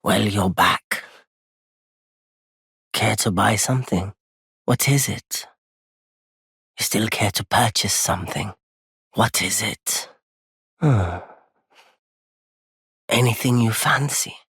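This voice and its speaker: A very husky, lowish male voice for a wandering merchant in a video game. He speaks slowly and politely, with weary understatement and a quietly unforgiving streak. The recording is dry and close. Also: no room sound, heavily acted. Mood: courteous, welcoming. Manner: measured, polite, wry, understated. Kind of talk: video game character dialogue.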